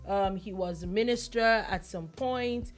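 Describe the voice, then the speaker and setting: A woman's voice: medium pitch, unhurried. A woman who hosts a radio show, talking through the news in a chatty way with many fillers and restarts. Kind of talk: news analysis chat.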